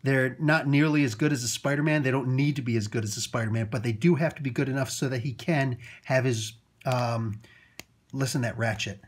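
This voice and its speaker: A man's voice: mid-range voice, steady tone, brisk, husky. A man, off camera and filming toys with a handheld phone, rambling through a casual review with many fillers, restarts and chuckles. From Italian heritage. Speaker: toy reviewer. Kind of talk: casual unboxing commentary. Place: indoors at a table, off camera.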